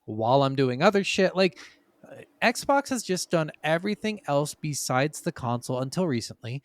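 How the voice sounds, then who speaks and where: A man's voice: on the high side, sing-song, normal speed, no room sound. A man hosting a casual gaming podcast, talking in an informal, opinionated way with plenty of swearing. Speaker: podcast host.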